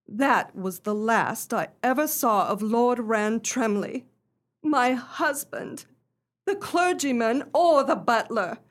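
A woman playing a wronged countess in a radio play, speaking with formal, earnest dignity. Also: on the high side. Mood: grave, recounting.

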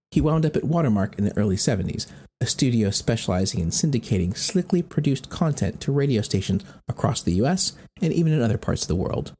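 A man's voice: fairly low pitch, mellow, breathy edge. An American man hosting a podcast, reading scripted narration. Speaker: podcast host.

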